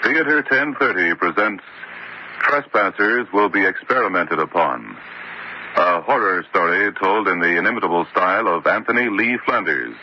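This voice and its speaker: A man announcing a radio horror drama in a formal, portentous scripted style. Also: deep voice. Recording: dull, hissy old radio recording.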